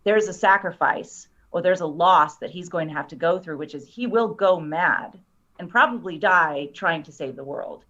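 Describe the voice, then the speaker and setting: A woman's voice: on the low side, moderate pace, a bit bright. A woman chatting casually as a guest, explaining in an earnest way. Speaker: guest, scriptwriter. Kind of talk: casual chat. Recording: slightly dull, lo-fi sound.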